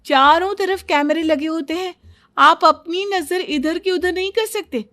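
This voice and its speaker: A woman's voice: very high-pitched, measured pace, fairly bright tone, no echo, studio quality, voice pushed up. A woman voicing an eager, boastful young daughter-in-law in a cartoon family story, insistent and keen to explain everything.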